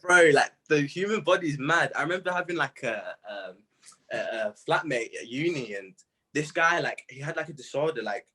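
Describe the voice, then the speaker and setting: A young man chatting casually in an interview, telling stories in an animated, slangy way with plenty of "bro" and "man". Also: fairly high pitch, normal speed, slightly rough. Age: young adult. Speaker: music artist being interviewed. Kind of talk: casual chat.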